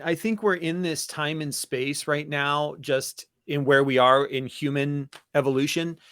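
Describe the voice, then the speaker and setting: A man's voice: fairly high pitch, a bit bright, no room sound, clean studio sound. A man hosting an educational webinar, talking conversationally in an enthusiastic, emphatic and personal way. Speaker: podcast and webinar host.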